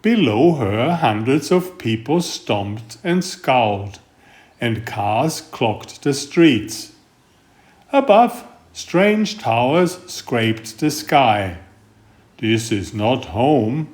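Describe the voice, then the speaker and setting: A man reading a picture book aloud in a gentle, unhurried storytelling manner. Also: mid-range voice, big pitch swings. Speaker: storybook reader. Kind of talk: slow read-aloud picture book narration.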